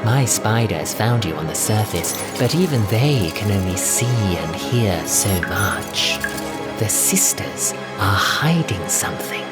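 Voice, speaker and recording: A man voicing a vain, scheming old woman, a skin creature in an audio drama, with a dreamy, drawn-out croon. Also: lowish voice, lively intonation, over loud music. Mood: sly, enticing. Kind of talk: fan-made audio drama villain.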